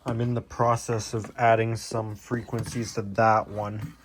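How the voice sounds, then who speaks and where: A man's voice: fairly low pitch, little pitch movement, a little slow, a little hiss or hum. A man talking in a casual, rambling, self-deprecating way as he puzzles things out in a hobbyist vlog. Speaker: hobbyist video host.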